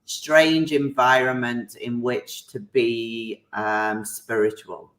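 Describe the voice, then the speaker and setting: A woman hosts a live online hangout over a video call. She speaks slowly and deliberately, greeting viewers one by one. Her voice rings in an echoey room. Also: extremely low pitch, flat delivery, a bit bright. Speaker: host.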